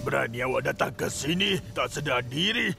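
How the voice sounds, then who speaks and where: A man playing an arrogant, power-hungry armored villain in a children's cartoon, menacing, boastful and gravelly. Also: mid-range voice, expressive, fairly quick, over music. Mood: scornful. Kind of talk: children's animated series acting.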